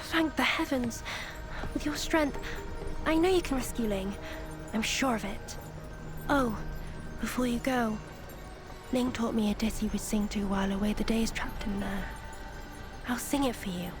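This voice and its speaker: An actress playing an anxious, earnest young woman in a video game, soft and hesitant as if asking for help. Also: on the high side, measured pace, long pauses, lightly acted. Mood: hopeful, earnest. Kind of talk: video game cutscene acting. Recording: music behind the voice.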